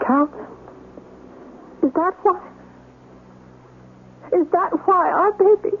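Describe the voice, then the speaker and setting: An American actress playing an anxious, sharp-tongued young wife and mother in an old-time radio drama, emotional and nagging. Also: very high-pitched, takes it slowly, narrow, tinny audio, heavily acted. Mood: frightened, tearful. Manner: emotional, nagging, expressive delivery. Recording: thin band-limited noisy radio recording.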